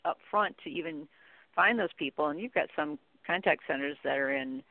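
A woman's voice: deep voice, moderate pace, no room sound. A woman speaking as an expert guest in a conversational interview, talking informally and emphatically. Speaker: guest expert on contact center training. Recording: narrow tinny phone-like line.

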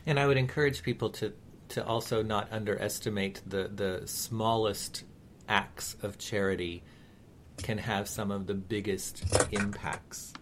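A man co-hosting a talk radio show, speaking in an earnest, measured and encouraging, reflective way. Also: fairly low pitch, steady tone, slight background noise.

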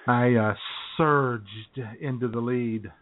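A man co-hosting a casual internet radio show, joking in deadpan asides and laughing at his own lines. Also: medium pitch, takes it slowly. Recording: tinny phone-line internet radio audio.

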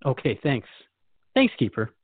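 Male radio host, thin phone-like sound, animated pitch, reflective interviewing, engaged, conversational. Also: measured pace, dry recording. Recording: dry, thin phone-like band.

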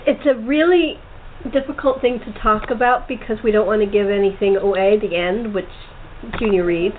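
A chatty woman hosting a casual group interview over an online call. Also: mid-range voice. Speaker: host and playwright. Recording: thin phone-like call with background noise.

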